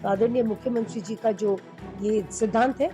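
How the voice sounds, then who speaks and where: Halting woman over clear music. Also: on the high side, fairly even intonation. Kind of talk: unscripted news sound bite. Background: music under the voice.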